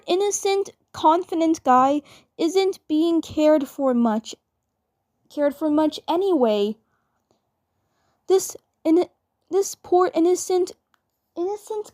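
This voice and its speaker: A woman voicing a brash, lovestruck twin girl from a cartoon fan dub, halting and repeating her words. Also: very high-pitched, darkish tone, dry recording, clean studio sound, pitched above the performer's own voice. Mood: defiant, fond. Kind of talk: amateur fan dub acting.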